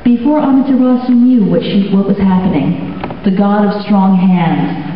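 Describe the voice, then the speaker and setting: A woman narrating a myth live at a convention event, telling it slowly and drawing out the names. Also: mid-range voice, a little hiss or hum. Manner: slow, deliberate, stretching out names. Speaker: storyteller. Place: convention event hall with audience. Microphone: distant from the recording mic. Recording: very echoey hall, distant, muffled.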